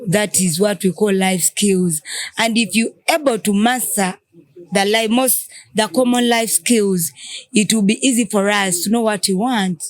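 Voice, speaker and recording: A woman's voice: medium pitch, fairly even intonation, a little slow, no echo. Ugandan woman explaining with examples. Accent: Ugandan English. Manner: explains with examples, asks and answers own questions. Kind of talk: casual group conversation.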